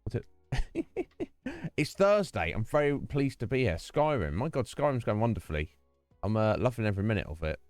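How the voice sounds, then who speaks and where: A man's voice: on the low side, sing-song, a little fast, no room sound, soft background music. A man hosting a livestream, chatting casually and rambling, restarting his sentences as he goes. He talks into a desk microphone with a noise gate. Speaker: livestream host. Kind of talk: casual livestream chat to viewers.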